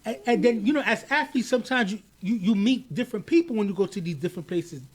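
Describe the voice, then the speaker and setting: A man co-hosts a podcast in a casual, animated way. His high voice pushes hard on key points, and he often repeats a word before he gets going. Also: quick pace. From United States, of Haitian parents. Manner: animated, emphatic, repeats words when starting. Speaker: podcast co-host.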